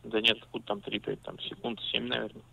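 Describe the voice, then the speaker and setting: A man's voice: lowish voice, level tone, fast talker, vocal fry, sharp tone, husky. A man working as a used-car salesman, answering in a patient, businesslike way that turns mildly argumentative. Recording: tinny phone line.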